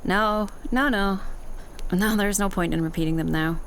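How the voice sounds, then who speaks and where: A wry, teasing cyberpunk netrunner, acted by a woman for an ASMR roleplay. Her voice sits at a medium pitch and she talks casually, like a loyal partner on a job, pausing as she thinks. Faint ambient noise runs behind her. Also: natural voice. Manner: casual, wry, hesitant pauses. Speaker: roleplay voice actor. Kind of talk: ASMR audio roleplay, conversational acting to the listener.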